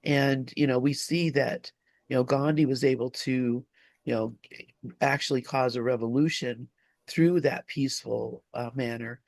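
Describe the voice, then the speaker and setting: A man of middle pitch talks in a casual, reflective group discussion. He is halting and often repeats words as he searches for the next one, and the sound is dry with little room echo. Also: steady tone, creaky.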